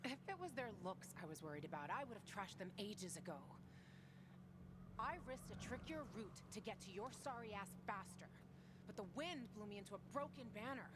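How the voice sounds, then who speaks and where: A woman's voice: medium pitch, lively intonation, normal speed, close to an everyday voice. An actress playing a tough young woman survivor in a video game, brusque, sarcastic and prickly. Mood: grumpy. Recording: game audio with clear ambient noise.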